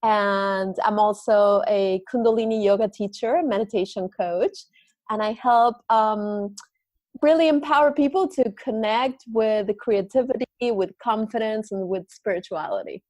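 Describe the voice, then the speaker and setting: A woman's voice: medium pitch, measured pace, darkish tone, no echo. Woman speaking in a dry, close recording. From Argentina. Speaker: guest, yoga and meditation teacher. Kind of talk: casual interview talk.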